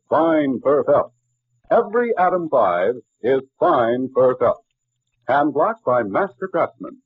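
A man's voice: fairly high pitch, breathy edge, no room sound. A man delivering a scripted 1940s radio advertisement as a polished, emphatic announcer. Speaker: commercial announcer. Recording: muffled old radio broadcast.

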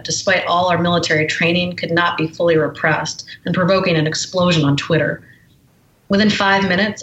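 A woman author speaks frankly and with clear indignation. Her voice sits slightly low. The recording sounds roomy and somewhat dull. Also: fairly bright tone. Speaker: guest, memoir author. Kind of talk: reading aloud from her memoir, then conversation.

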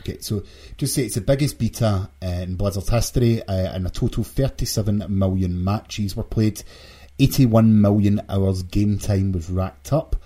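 A man's voice: on the low side, moderate pace, warm tone. A British man hosting a casual video game podcast, sometimes stammering and repeating his words. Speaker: podcast host.

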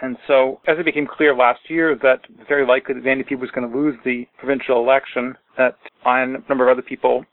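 A man's voice: mid-range voice, level tone, fast, few pauses, no room sound. Man in his forties on a tinny phone line. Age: 40s. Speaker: guest, socialist organizer. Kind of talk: interview over a call.

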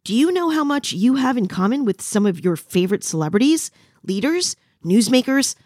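A woman's voice: fairly high pitch, big pitch swings, moderate pace, a little mellow, no echo, clean studio sound. A woman podcast host reading a scripted promotional spot.

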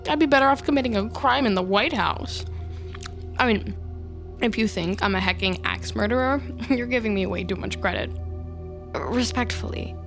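A woman's voice: mid-range voice, normal speed, creaky, soft background music, close to an everyday voice. An actress playing a nervy, scheming female office worker with a sly edge in an audio drama. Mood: flustered. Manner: chatty, hesitant, mild minced swearing. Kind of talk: naturalistic audio drama acting.

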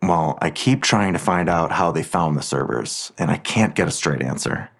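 A very low-voiced, protective investigating man. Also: lively intonation, creaky, heavily acted. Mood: intent, explaining. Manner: measured, reassuring, explanatory. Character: protective, secretive man investigating a crime on his own. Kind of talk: audio drama acting.

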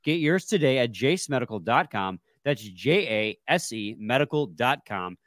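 A man's voice: mid-range voice, sing-song, normal speed, fairly bright tone, no echo, clean studio sound. A man with a stuffy, congested voice, hosting a casual sports podcast with laid-back, joking banter. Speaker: podcast co-host.

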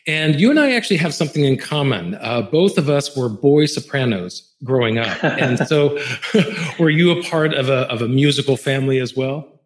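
A man's voice: medium pitch, animated. A man hosting an online interview, asking questions and affirming answers often. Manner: affirming, quick to agree. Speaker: interview host. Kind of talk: virtual red carpet interview.

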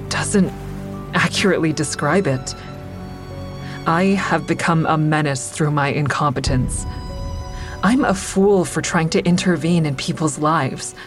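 An actress playing a guilt-ridden, self-critical crisis counselor who tells her story in confessional first-person audio drama narration. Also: low voice. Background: prominent music.

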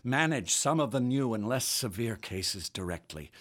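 An actor playing a tired, authoritative middle-aged chief doctor in a period radio drama. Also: male voice, mid-range voice, animated, moderate pace, a bit bright. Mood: stern.

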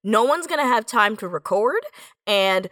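Bright-voiced woman telling stories, close and dry. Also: a little high, animated, measured pace, no echo. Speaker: audio fiction writer, podcast guest. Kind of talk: casual conversational storytelling.

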